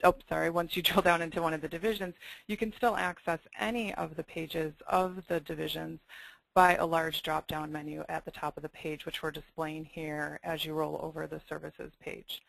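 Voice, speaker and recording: Webinar presenter, slide walkthrough, explanatory, gravelly fry, dry close sound. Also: medium pitch, flat delivery, normal speed, fairly bright tone, no room sound, low-quality recording. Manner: clear, explanatory, occasional fillers. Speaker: web design presenter.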